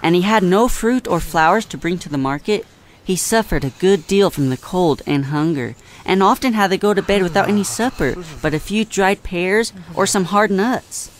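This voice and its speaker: A man playing a gentle, wise little songbird who tells a story politely and in measured phrases. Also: sits high, lightly acted. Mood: gentle narration. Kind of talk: audio drama acting and storytelling.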